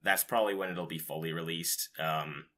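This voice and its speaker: A man's voice: deep voice, steady tone, moderate pace, fairly bright tone. A man hosting a podcast, chatting casually about game news in an informal, rambling, casually profane way. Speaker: podcast host.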